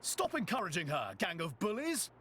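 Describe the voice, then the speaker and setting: A man's voice: high-pitched, wildly swooping pitch, normal speed, a bit bright, a little hiss or hum, heavily acted. A man voicing a plucky young guide in a video game cutscene. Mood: exasperated. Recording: clear game music behind voice.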